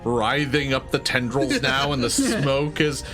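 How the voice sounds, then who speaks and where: A man's voice: medium pitch, steady tone, unhurried, music in the background. A man improvising narration and rulings as game master of a tabletop game.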